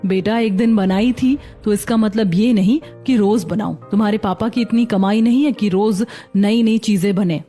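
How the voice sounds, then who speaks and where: A woman playing a sensible, patient young mother and daughter-in-law, gently reasoning in a Hindi cartoon story. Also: medium pitch, normal speed, soft background music, lightly acted. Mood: gently scolding.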